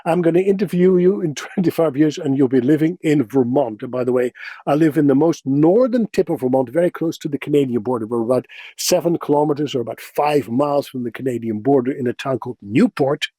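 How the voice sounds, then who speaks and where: A middle-aged man from the Netherlands with a Dutch accent, a Dutch native speaker, telling stories in a casual, expressive interview. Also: medium pitch, a little fast, husky, no echo. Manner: expressive, animated, self-deprecating humor. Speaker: interview guest. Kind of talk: casual conversational storytelling.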